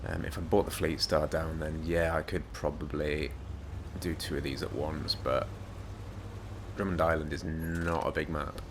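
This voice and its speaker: A man with a slightly croaky voice, giving rambling, self-mocking gameplay commentary. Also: rumbling low, level tone, measured pace, noisy background. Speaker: gaming YouTuber.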